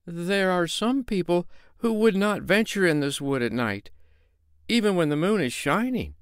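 A boastful tinker who scares at nothing, shrill and excitable, his voice leaping far above a normal man's pitch as a man reads him aloud. The sound is smooth and clear, dry and close. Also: moderate pace, no room sound. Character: boastful, easily frightened grown man tinker.

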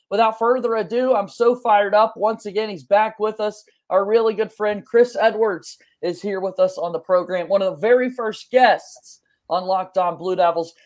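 High-pitched enthusiastic male podcast host. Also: darkish tone. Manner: enthusiastic, eager. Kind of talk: upbeat sports podcast hosting. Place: small home room, indoors.

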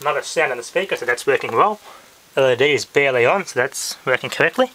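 A man giving a casual hands-on electronics demonstration, talking haltingly as he thinks aloud. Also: medium pitch, animated, sharp tone. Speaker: hobbyist presenter. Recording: noisy amateur handheld camera audio with clicks.

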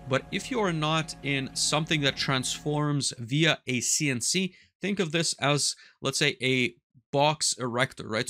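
A man in his thirties giving a step-by-step software tutorial on automation, talking methodically over a headset mic in a small workshop. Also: mid-range voice, dry recording. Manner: explanatory, methodical, walks through steps aloud. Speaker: automation instructor. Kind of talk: screen-recorded software tutorial. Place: small workshop room with control panels.

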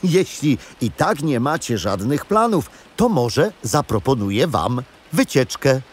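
Animated, cheerful grandfather. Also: medium pitch, a little slow, breathy edge. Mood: playful, inviting. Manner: animated, explanatory storytelling to children. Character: cheerful grandfather guiding grandchildren on adventures through history. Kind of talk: children's audio drama acting.

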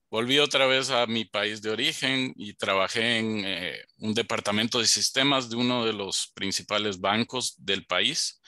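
A man in his fifties from Ecuador, giving a measured panel introduction on a video call over a headset. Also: fairly low pitch, bright, forceful tone, dry recording, clean studio sound. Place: home office on a video call.

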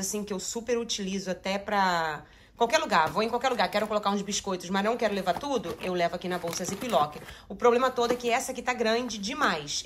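A woman's voice: medium pitch, a bit bright, slight background noise. A middle-aged woman, chatting casually to her viewers while organizing her kitchen drawers. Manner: chatty, addresses viewers as "gente", uses many diminutives. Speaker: home organizing vlogger. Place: home kitchen.

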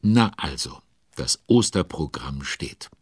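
A man playing a chatty storyteller in a children's audio drama, with an expressive, conversational manner. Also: extremely low pitch, slow pace, breathy edge, no echo, exaggerated voice. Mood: engaged storytelling. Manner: conversational, expressive, asking questions. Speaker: narrator.

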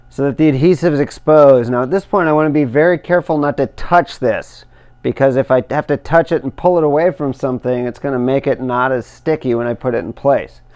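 A middle-aged man calmly explaining each step of a hands-on installation as he works. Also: a little high. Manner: careful, measured explaining while working with his hands. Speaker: instructor demonstrating kayak outfitting. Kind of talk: step-by-step how-to demonstration.

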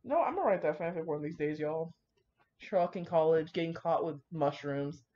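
A brash woman chats in a dramatic, slangy, sweary ramble. Her pitch sits a bit low but swings around a lot. It sounds like a home recording on a basic, muffled microphone. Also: lively intonation, a little fast. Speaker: fan fiction reader and commentator. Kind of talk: casual rambling commentary with asides. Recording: dull lo-fi microphone.

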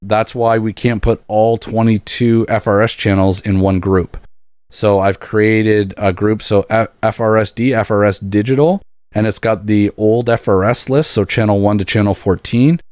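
A man's voice: fairly low pitch, steady tone, moderate pace, a little mellow, clean tone, no room sound, studio quality. A man hosting an instructional tutorial, explaining things step by step in an informal, plain-spoken way. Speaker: tutorial host. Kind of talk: casual instructional demo, step-by-step.

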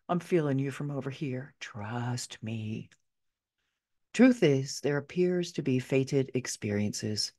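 A middle-aged woman narrating her own memoir as an audiobook, expressive and conversational, with wry asides. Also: low-pitched. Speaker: author reading own book.

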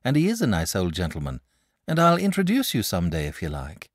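A man's voice: low voice, huge pitch swings, fairly quick, no room sound, clean studio sound, exaggerated voice. A man voicing an earnest, sensible young boy who talks in a fretful, lecturing way.

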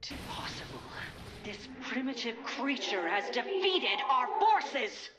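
A woman's voice: on the high side, very animated, slow, heavy background noise. A woman playing a proud, hostile alien warrior in a video game, haughty and fierce. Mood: outraged. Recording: loud game battle ambience behind.

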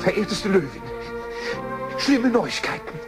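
A man playing an agitated, bossy ship's rat in a children's radio play, scolding and excitable. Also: sits high, a little slow, over loud music. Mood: alarmed.

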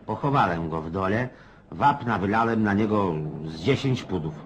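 An actor playing a garrulous, cynical old Cossack in a radio play, rough-edged and folksy, prodding his listener with questions. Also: lowish voice, lively intonation, normal speed, slight background noise, lightly acted. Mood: animated storytelling.

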